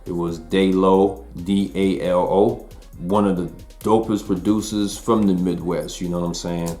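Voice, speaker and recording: A man in his forties hosting a casual album review, talking to viewers in a relaxed, slangy way. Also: deep voice, measured pace, faint music behind. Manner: laid-back slangy talk to viewers.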